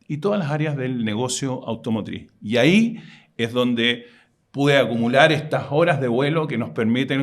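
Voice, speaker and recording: Gravelly middle-aged man. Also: mid-range voice. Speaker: podcast co-host. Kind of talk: casual podcast chat.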